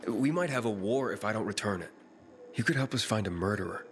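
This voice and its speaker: A determined young male drifter from a video game. His voice is fairly low, casual and pressing. Music runs clearly behind him. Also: lively intonation, a little fast. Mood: matter-of-fact. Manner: casual, probing, firm. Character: determined young male wanderer, probing, streetwise hero.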